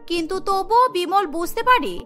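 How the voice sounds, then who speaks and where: A woman's voice: extremely high pitch, expressive, fairly quick, soft background music. A woman reading scripted narration for a cartoon story.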